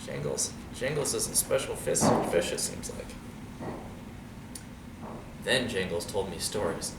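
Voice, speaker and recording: A man reading a storybook aloud to young listeners in an expressive, dramatic way. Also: sits low, unhurried, creaky. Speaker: storybook reader. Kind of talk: animated read-aloud story with asides to children. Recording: roomy, distant mic, noisy background.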